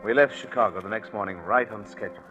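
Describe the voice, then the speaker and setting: A man acting a scheming, smooth-talking swindler in a 1940s radio suspense drama, telling his story in a confiding, polite way. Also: fairly low pitch, fast talker, natural voice. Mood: tense, confiding. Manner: confiding first-person storytelling, polite in dialogue. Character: scheming, smooth-talking male swindler confessing his long-shot con. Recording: dull 1940s radio recording, music behind.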